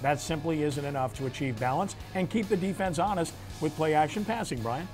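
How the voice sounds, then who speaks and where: A man's voice: on the high side, a little fast, over music. A man hosting a sports talk show, giving emphatic, opinionated analysis in punchy declarative phrases.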